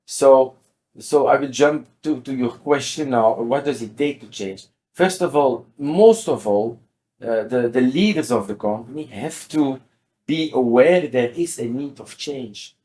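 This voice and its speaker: A man's voice: mid-range voice, unhurried, darkish tone. A man in his sixties with a Belgian accent explains things in an interview, often repeating words as he goes. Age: 60s. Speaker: interview guest, company CEO.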